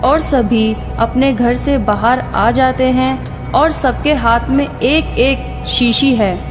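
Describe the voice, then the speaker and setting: Female horror story narrator, higher voice, slow scripted delivery, long reverb, faint music. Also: fairly even intonation. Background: faint background music.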